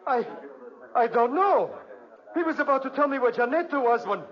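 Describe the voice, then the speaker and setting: Frantic, high-voiced guilty schemer. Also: male voice, animated, moderate pace. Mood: frantic, defensive. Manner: loud, insistent, exclamatory. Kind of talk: 1940s radio acting. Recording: muffled 1940s radio recording.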